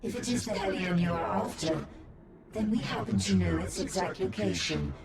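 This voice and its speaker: An actor voicing a cold, courteous inhuman creature in a video game, speaking in a formal, measured, detached and ominous way. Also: medium pitch, vocal fry, lightly acted. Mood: courteous menace. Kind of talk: video game cutscene acting.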